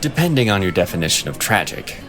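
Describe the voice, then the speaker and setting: A man's voice: low-pitched, big pitch swings, fast, a bit bright, soft background music. A man playing a stoic, weary hunter in a fantasy audio drama, dry and placating in manner.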